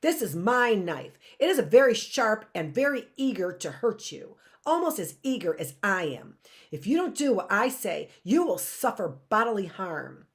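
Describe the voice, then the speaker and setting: A woman's voice: medium pitch, big pitch swings, normal speed. A woman reading aloud, voicing a scheming, menacing man who mocks and snarls at children. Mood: snarling. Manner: snarling, mocking.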